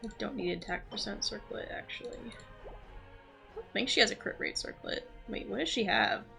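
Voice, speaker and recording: Bright-voiced woman live-streaming a game, music behind. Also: medium pitch, normal speed, vocal fry. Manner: rambling, thinking aloud, self-talk. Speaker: livestreamer playing a role-playing game. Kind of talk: casual live gaming stream commentary.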